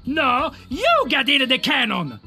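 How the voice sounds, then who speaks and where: A man voicing an excitable, squabbling circus acrobat brother with showy, exclamatory delivery in a video game. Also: extremely high pitch, takes it slowly, gravelly, husky, soft background music, full character voice. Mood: squabbling, whiny. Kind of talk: theatrical cartoonish game acting.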